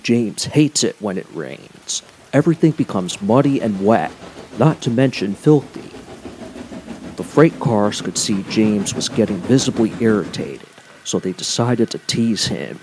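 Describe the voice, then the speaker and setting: A man's voice: fairly low pitch, lively intonation, unhurried, background noise. A man reading scripted narration for a children's story as a storyteller.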